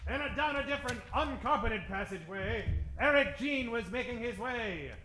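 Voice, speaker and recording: Male narrator for comic radio adventure: forceful, theatrical, very high pitched, faint music underneath, echoey stage. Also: expressive, normal speed. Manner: theatrical, mock-dramatic. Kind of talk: melodramatic old-time radio adventure narration.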